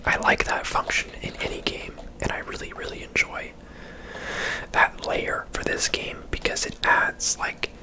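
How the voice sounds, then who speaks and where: A person giving casual, unhurried ASMR gaming commentary in a soft, breathy whisper close to the microphone. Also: poor audio.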